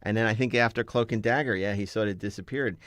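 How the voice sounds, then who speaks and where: Low-voiced man in a dry studio sound. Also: steady tone, fast, a bit bright, dry recording. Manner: enthusiastic, rambling film-buff talk. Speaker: podcast panel host. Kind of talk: casual panel chat about films.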